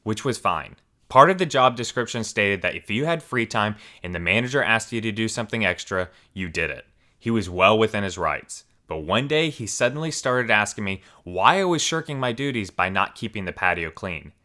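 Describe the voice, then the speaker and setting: A man hosting a story channel, reading forum posts aloud in a steady, even storytelling manner. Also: lowish voice, normal speed.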